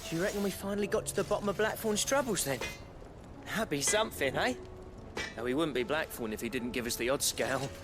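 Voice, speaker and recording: A woman's voice: deep voice, quick pace, over music, close to an everyday voice. A woman voicing a cheery, cheeky common-folk companion in a video game, chummy and colloquial. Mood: teasing, friendly.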